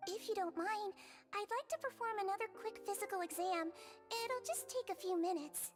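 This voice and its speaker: A woman voicing a small, polite girlish nurse in a video game, with a childlike voice and an earnest manner. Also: moderate pace. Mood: brisk, caring. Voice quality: childlike, high-pitched. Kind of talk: video game character dialogue. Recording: game music behind.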